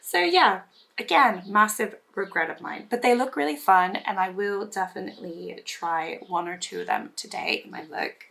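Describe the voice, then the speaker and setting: A woman with a hoarse voice still recovering from losing it, chatting casually about makeup while doing her look, rambling with many fillers and restarts. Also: medium pitch, lively intonation, moderate pace, fairly bright tone. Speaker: beauty vlogger. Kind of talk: casual get-ready-with-me chat.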